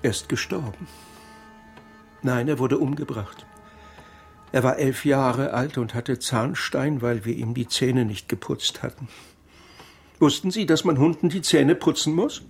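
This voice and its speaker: A man's voice: mid-range voice, a little slow, pauses often, husky, soft background music. An actor in a radio crime drama playing a bitter, lonely laid-off editor in his fifties, aggrieved, wry and articulate. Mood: brooding. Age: 50s. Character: bitter, lonely laid-off former editor-in-chief, man of fifty-four.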